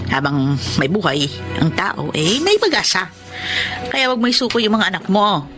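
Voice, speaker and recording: An actress playing a plain-spoken, sympathetic neighbour and friend, with a Filipino accent in a radio drama. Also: female voice, mid-range voice, big pitch swings, normal speed, music in the background, close to an everyday voice. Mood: encouraging.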